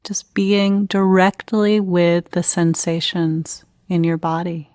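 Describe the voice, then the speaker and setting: A woman leading a guided meditation in an unhurried, gentle, instructional manner with pauses between phrases. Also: on the low side, fairly even intonation, dry recording, clean studio sound.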